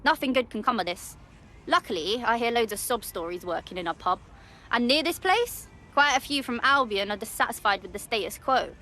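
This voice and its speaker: A sardonic, streetwise British pub worker in a game. Her voice is bright and crisp-edged, pitched a little high, and she talks with wry assurance. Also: lively intonation, brisk, a little hiss or hum. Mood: wry, conspiratorial. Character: savvy, sardonic female pub worker helping the resistance. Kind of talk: game cutscene dialogue.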